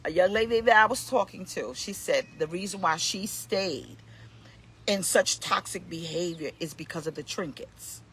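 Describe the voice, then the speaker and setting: A woman's voice: lowish voice, normal speed, a bit bright, slight background noise. A woman in her fifties hosting a casual motivational talk, emphatic and preachy, repeating her key points. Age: 50s. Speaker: host, pastor.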